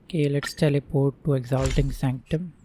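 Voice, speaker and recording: A man's voice: medium pitch, steady tone, moderate pace, dark tone, a little hiss or hum. A man hosting a gameplay video with casual commentary. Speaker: gaming video host.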